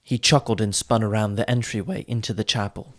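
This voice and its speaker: A man's voice: fairly low pitch, steady tone, a little fast. A man reading a fantasy audiobook as narrator, in a dramatic, theatrical storytelling style.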